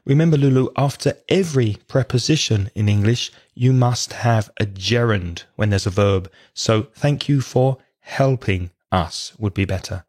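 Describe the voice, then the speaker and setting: Male English-teacher podcast host, currently croaky and raspy, explains things plainly. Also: mid-range voice, normal speed, hardly any pauses, a little mellow. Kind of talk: casual podcast chat.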